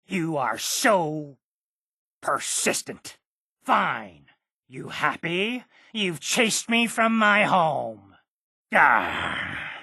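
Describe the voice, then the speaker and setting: A cantankerous old man from a video game, stubborn and sarcastic. His voice is gravelly and hoarse-edged, and it swings widely in pitch as he bristles with indignation. He takes his time over his words. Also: bright, forceful tone, no echo, studio quality, exaggerated voice. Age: elderly. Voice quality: gravelly, raspy. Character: stubborn, cantankerous old hermit uncle guarding his homestead. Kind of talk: video game character dialogue.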